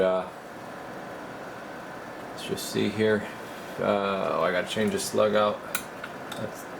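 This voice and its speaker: A man working as a radio repair technician, giving casual, halting bench commentary as he mutters and thinks aloud. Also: fairly low pitch, creaky, heavy background noise. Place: indoor radio repair workbench.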